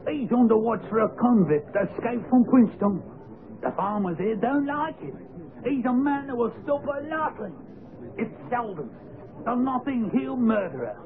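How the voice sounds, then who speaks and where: Ominous local driver, extremely high, swooping voice, muffled radio sound. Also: male voice, lively intonation, moderate pace, crowd noise. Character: rustic male wagon driver, ominous local. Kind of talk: radio drama acting. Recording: distant, muffled radio broadcast.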